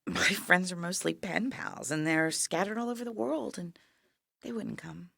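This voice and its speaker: An actress in an audio play playing a dying younger sister, weary, wry and resigned, with a frail, halting, breathy delivery that drops to whispers. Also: female voice, fairly low pitch, animated, a bit bright, dry recording, studio quality, full character voice. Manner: frail, halting, wryly understated. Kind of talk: intimate audio play acting.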